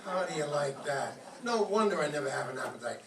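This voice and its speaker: Man acting a cranky, high-pitched comedy husband. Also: expressive, fairly quick, a bit bright. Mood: cranky, sarcastic. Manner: grumbling, indignant. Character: sleepy, cranky, sarcastic penny-pinching husband. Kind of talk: old-time radio comedy reenactment on stage. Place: live stage performance before an audience. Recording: roomy, distant mic, faint noise.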